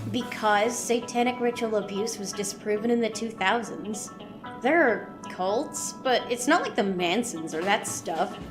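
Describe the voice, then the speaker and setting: A woman playing a breezy, quick-witted hacker sidekick in an audio drama. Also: medium pitch, over music, lightly acted. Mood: matter-of-fact.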